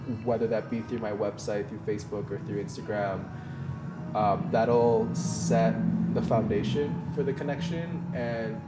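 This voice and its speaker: A man's voice: lowish voice, steady tone, unhurried, roomy sound, over loud music. A man whose first language is Mandarin, talking in a casual, reflective way as a podcast guest, rambling with many fillers and restarts.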